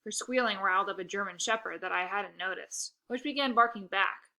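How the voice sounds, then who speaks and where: A woman reading a children's book aloud in an expressive narrating style. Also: medium pitch, brisk. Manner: expressive, occasional stumbles over words. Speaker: storybook reader.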